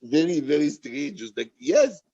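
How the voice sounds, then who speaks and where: Guy author with a raspy creaky voice, emphatic and worked up, slightly muffled dry recording. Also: a little high, sing-song, measured pace, warm tone, no room sound. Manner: passionate, emphatic, repetitive. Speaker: author and poet answering audience questions. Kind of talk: animated, candid book-reading discussion.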